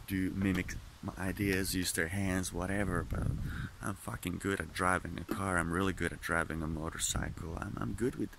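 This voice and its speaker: A man with a low, rough, fry-laden voice talks straight to the camera in a loose, profane monologue. He keeps stopping, restarting and wandering off track, and English is not his first language, since he grew up speaking Finnish. A light hiss of background noise sits under his voice. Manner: candid, profane, meandering with many restarts. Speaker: vlogger.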